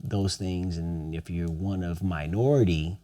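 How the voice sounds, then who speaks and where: A man's voice: low voice, steady tone, a little slow, mellow. A young man co-hosting a podcast, talking earnestly and asking rhetorical questions. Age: young adult. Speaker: podcast co-host. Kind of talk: casual podcast conversation.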